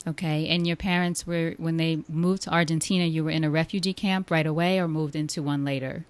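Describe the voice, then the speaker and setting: A woman with a smooth, clear voice hosting an upbeat interview podcast on a close mic. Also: low voice, steady tone, fairly quick, dry recording.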